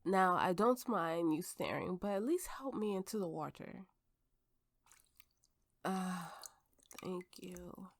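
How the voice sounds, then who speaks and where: A woman voicing a drunken, playful and imperious warrior woman in an ASMR roleplay. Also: fairly low pitch. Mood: bawdy, teasing, then relaxed, languid. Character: tipsy, haughty, flirtatious warrior woman with a slave. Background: soft background music.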